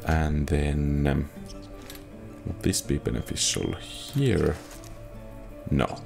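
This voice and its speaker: A man's voice: extremely low pitch, lively intonation, extremely slow, vocal fry, faint music behind. A man giving casual gameplay commentary, thinking aloud as he sorts through items. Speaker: gameplay streamer.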